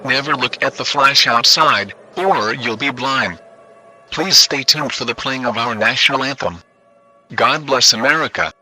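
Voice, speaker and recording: A man's voice: medium pitch, unhurried, bright, forceful tone, faint music behind, poor audio. A man delivering a formal, scripted emergency alert announcement.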